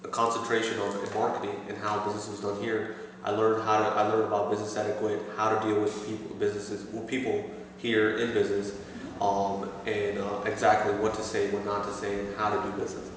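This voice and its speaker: A man in his thirties from Pakistan, answering interview questions with many pauses and restarts. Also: lowish voice, monotone, recorded from a distance. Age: 30s. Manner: hesitant, many fillers and restarts. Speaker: interviewee, assistant store manager. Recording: echoey room, voice from afar, faint noise.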